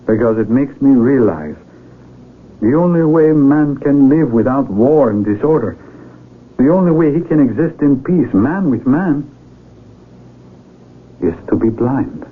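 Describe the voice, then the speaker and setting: An actor playing a desperate, hot-tempered young mountaineer in an old-time radio drama, earnest and agitated. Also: male voice, mid-range voice, animated, a little slow, pauses often, slightly rough. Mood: troubled. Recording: tinny band-limited radio recording with hiss.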